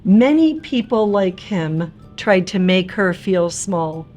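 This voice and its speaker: A woman narrating a rhyming picture book for young children in an expressive, theatrical and emphatic way. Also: mid-range voice, takes it slowly, faint music behind.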